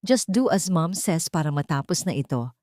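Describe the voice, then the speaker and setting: A woman's voice: deep voice, big pitch swings, moderate pace, darkish tone, no echo. A woman storyteller plays a cold husband in an audio drama, dismissive and busy. He talks curtly and with indifference, in a dry recording. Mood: cold impatience. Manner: curt, indifferent.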